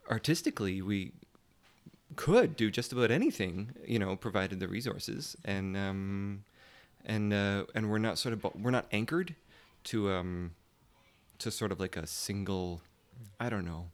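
A man's voice: fairly low pitch, measured pace. A man speaking as a theatre guest in a casual panel discussion, careful and diplomatic, feeling for his words.

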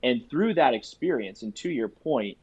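A man's voice: medium pitch, lively intonation, moderate pace, darkish tone, slightly rough. A young man with a nasal, congested voice from a cold, talking earnestly and casually on a podcast, repeating phrases for emphasis. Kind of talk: casual conversational podcast chat. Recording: dry, slightly dull sound.

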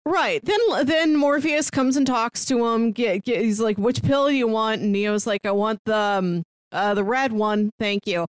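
A woman co-hosting a podcast in casual chat, with a wry, deadpan sense of humor. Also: a little high, a little slow, hardly any pauses, clean tone, no echo. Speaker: podcast co-host.

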